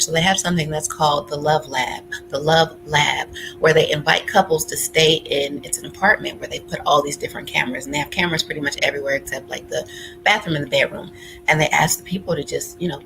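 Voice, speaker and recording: Woman giving a talk, creaky voice. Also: low voice, low-quality recording. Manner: conversational, acts out example phrases. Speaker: workshop speaker on relationships. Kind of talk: informal lecture to an audience, explanatory.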